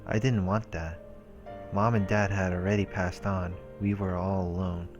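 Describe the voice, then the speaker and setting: A man's voice: low voice, fairly even intonation, normal speed, highs cut off. A man hosting a let's play, reading game narration aloud and adding casual commentary. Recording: clear game music behind the voice. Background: visual novel music.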